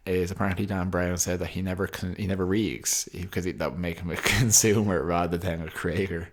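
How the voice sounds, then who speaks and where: A young man with a very low voice, talking in a casual podcast chat. He teases dryly and stretches his fillers as he thinks aloud. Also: flat delivery. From Ireland. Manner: dry, teasing, drawn-out fillers. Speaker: podcast co-host.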